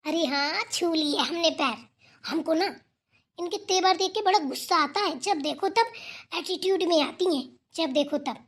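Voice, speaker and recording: A woman voicing an excitable, gossipy young daughter-in-law in a village cartoon family drama, chatty and emphatic. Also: very high voice, normal speed. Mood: annoyed, gossipy.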